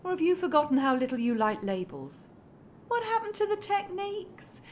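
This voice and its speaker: An actress plays a female support worker with a strikingly high, expressive voice. She is earnest, articulate and exasperated, in natural radio drama style, with faint noise behind her. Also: moderate pace. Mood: exasperated, stern.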